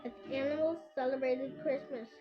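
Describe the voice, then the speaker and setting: A woman's voice: high-pitched, fairly even intonation, moderate pace. A young girl reading a children's picture book aloud, stumbling over words and sounding them out, with casual asides. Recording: muffled lo-fi home recording with prominent music. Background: music.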